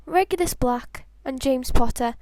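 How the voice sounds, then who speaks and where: A high-pitched female voice narrates fan fiction in an expressive, homemade audiobook style. The sound is dry and close, and she trips lightly over a word here and there. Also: unhurried, dry recording.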